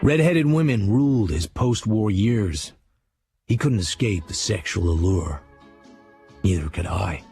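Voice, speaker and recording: Low, gravelly American noir narrator. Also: male voice, lively intonation, a little slow, dark tone, full character voice. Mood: brooding, hard-boiled. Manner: terse, hard-boiled. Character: brooding, hard-boiled American male crime writer narrating childhood. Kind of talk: first-person scripted drama narration. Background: music.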